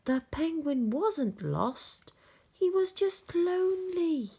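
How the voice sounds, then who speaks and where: A woman storyteller reading a children's bedtime story aloud, gently and expressively, with dramatic pauses and hushed phrase endings. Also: very high voice, very animated.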